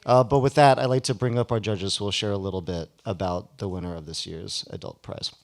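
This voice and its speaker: A man's voice: lowish voice, fairly even intonation, brisk. A man hosting a live ceremony, introducing guests to an audience, partly reading and partly speaking off the cuff. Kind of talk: public introduction, reading a bio then ad-libbing. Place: live event before an audience.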